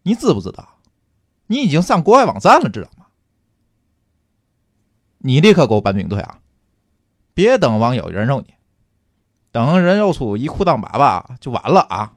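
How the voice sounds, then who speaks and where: A man's voice: mid-range voice, big pitch swings, normal speed, pauses often, no echo. A man acting a scolding police officer in a comic radio drama, sarcastic and earthy, with a Tianjin accent. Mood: exasperated, commanding. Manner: sarcastic rhetorical questioning, colloquial.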